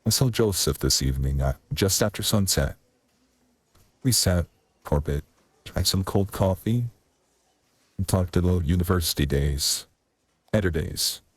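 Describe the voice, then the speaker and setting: A man voicing a wistful, rambling theatre man in a video game, with a theatrical, deliberate and wordy manner. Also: low voice, lively intonation, slightly rough, no room sound, exaggerated voice. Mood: deflated, wistful. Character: Carrington, wistful rambling male theatre man pleading his case.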